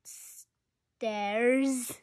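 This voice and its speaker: A very young female reader going through a picture book aloud haltingly, sounding out words and adding excited asides. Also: on the high side. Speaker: reader in a children's read-along video.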